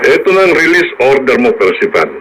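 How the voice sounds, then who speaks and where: An actor with a Filipino accent playing a calm, reassuring attorney who explains things in a measured radio drama manner. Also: male voice, mid-range voice, loud music behind the voice, natural voice, rough recording. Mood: matter-of-fact. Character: calm, reassuring male attorney bringing good news.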